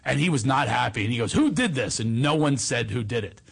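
A deep-voiced man telling funny stories in a casual chat, laughing often and acting out the voices of the people in them. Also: animated, a little fast, vocal fry, breathy edge. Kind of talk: comic storytelling chat.

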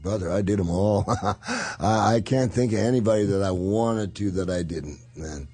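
Older man with a husky voice. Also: fairly low pitch, moderate pace, a little mellow. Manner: gravel-edged, laughing, swaggering anecdotes. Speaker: podcast host. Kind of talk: casual storytelling chat.

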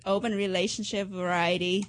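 Woman, talk show guest, candid, unabashed, casual conversational delivery, medium pitch. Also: steady tone, moderate pace, a little hiss or hum.

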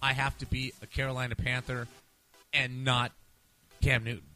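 A man's voice: mid-range voice, flat delivery, moderate pace, sharp tone, soft background music. A man hosting a casual sports picks show, talking in a playful, slangy and sometimes profane way. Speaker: sports podcast host. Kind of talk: casual sports pick banter.

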